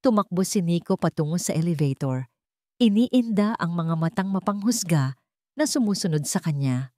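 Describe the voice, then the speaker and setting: Mellow woman narrating a dramatic story. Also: fairly low pitch, animated, moderate pace, no echo, clean studio sound.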